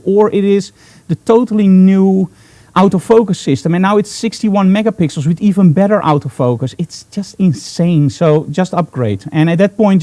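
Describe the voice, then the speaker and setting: Dutch guy hosting a live stream, highish voice but soft mellow tone, chatty n emphatic. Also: no room sound. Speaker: host, photographer. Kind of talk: informal live broadcast, explaining gear.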